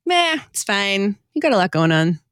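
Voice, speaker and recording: Woman acting a wry, lively female figment. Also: medium pitch, normal speed, dry recording, studio quality. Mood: playful. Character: teasing, matter-of-fact female figment ghost guiding a sinner. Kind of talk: comedic radio play acting.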